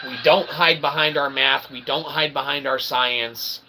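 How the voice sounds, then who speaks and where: A man's voice: mid-range voice, fairly even intonation, unhurried, gravelly, heavy background noise. A man delivering a rambling philosophical stream monologue, emphatic and wordy, with convoluted academic phrasing and folksy asides. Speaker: independent channel streamer.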